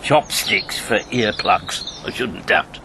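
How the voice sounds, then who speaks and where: A man's voice: fairly low pitch, slow pace. An actor playing a talkative, needling British ex-agent in his fifties in a radio drama, rambling and sardonic. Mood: sardonic, exasperated. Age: 50s. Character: talkative, needling fifty-year-old male ex-wartime agent. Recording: clear background noise with birdsong.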